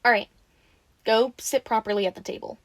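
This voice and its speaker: A woman's voice: fairly high pitch, fairly even intonation, brisk, no room sound, natural voice. A woman playing a teasing, bossy but caring vampire in an audio roleplay, talking informally and expressively to the listener. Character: teasing, sassy, caring female vampire taking in a newly turned one.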